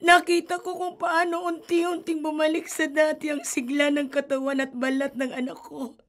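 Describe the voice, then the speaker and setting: A woman's voice: high voice, level tone, normal speed, fairly bright tone. A woman voicing a desperate, grieving mother in a horror radio drama, pleading and emotional. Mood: anguished.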